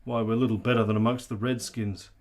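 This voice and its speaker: A man's voice: on the low side, little pitch movement, fast talker, lightly acted. World-weary army colonel, played by a man. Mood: wry, commanding. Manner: authoritative, wry, informal candour. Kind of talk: radio play acting.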